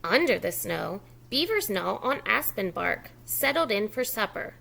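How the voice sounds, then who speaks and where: Female preschool storytime reader: slow, very expressive delivery, lively pitch swings, encouraging asides for little listeners. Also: vocal fry, low-quality recording. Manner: expressive, encouraging, simple child-directed talk with prompts to repeat words. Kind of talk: children's picture-book read-aloud with asides to young listeners.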